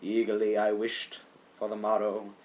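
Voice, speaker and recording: A man giving an amateur dramatic poetry reading, theatrical and drawn out, moving between hushed and loud delivery. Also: fairly low pitch, monotone, normal speed. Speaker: amateur reader of a poem.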